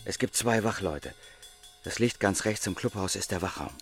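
A man's voice: sits low, normal speed, a bit bright, faint music behind. A man playing a resolute inside man on a heist in a radio crime drama, explaining things matter-of-factly. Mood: informative.

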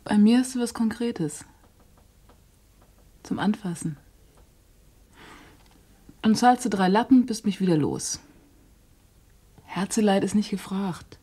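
A woman's voice: medium pitch, warm tone, breathy edge. An actress playing a hard-edged streetwise woman who talks bluntly and coaxingly in slang. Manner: blunt slangy coaxing, slow, drops to a whisper. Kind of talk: radio play acting.

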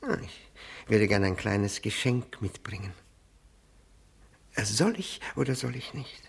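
A man's voice: fairly low pitch, sing-song, unhurried, gravelly, breathy edge, full character voice. An actor playing a fawning, self-abasing widower in a 1960s German radio play, hesitant and stammering as he pleads. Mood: anxious, fussy. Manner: hesitant, obsequious, stammering repetitions.

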